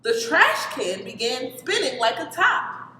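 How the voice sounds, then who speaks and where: A woman reading a children's story aloud in an animated storytelling style. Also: high voice, a little slow. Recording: reverberant hall with background noise.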